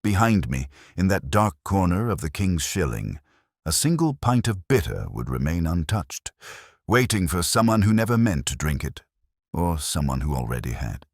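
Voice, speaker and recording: Very deep-voiced man narrating a detective story. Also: sing-song, dry recording, studio quality. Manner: measured, literary, reflective delivery. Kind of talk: first-person scripted detective story narration.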